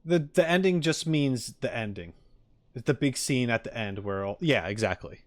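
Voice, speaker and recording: A man's voice: medium pitch, lively intonation, normal speed. A man streaming in a casual chat style, hesitant as he thinks aloud.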